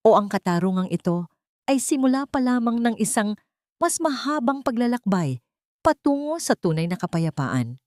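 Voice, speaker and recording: A female storyteller with a slightly low voice narrates scripted drama. Her delivery is measured and solemn, with literary phrasing. The recording is dry and free of room sound. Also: mellow, no echo.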